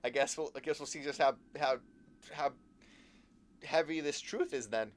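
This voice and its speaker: A man giving casual live commentary while playing a game, halting, repeating words and trailing off mid-thought. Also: on the high side, slight background noise. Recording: game music behind the voice.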